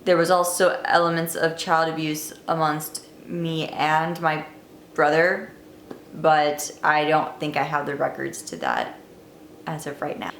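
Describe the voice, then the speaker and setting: A woman's voice: low-pitched, a bit bright, slight background noise. Wry, chatty young woman, roomy sound. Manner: candid, wry, chatty with fillers. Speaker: vlogger. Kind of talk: casual storytelling to camera. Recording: echoey room, faint ambience.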